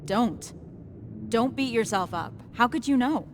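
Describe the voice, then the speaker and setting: A woman's voice: fairly high pitch, animated, unhurried. A woman playing a determined, caring young resistance fighter in a dramatic, conversational video game cutscene. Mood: firm, caring. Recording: clear background noise, vehicle-like ambience.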